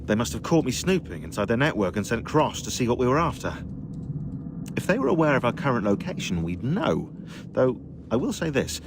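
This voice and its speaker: An actor playing a calm male technician who explains the situation in a game. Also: medium pitch, brisk. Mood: explaining. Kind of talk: video game cutscene acting. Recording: noisy background.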